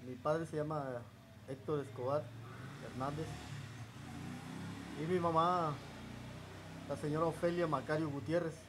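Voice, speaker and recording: Higher-pitched southern Mexican man, halting speech. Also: steady tone. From Chiapas, southern Mexico. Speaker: interview guest. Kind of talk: reminiscing in a relaxed interview. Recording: noticeable background ambient noise.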